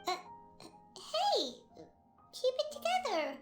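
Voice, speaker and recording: A woman's voice: very high-pitched, huge pitch swings, slow pace, exaggerated voice. A woman voicing a tiny, childlike fairy companion in a video game, blunt, cheeky and boastful. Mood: alarmed. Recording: roomy echo, music underneath.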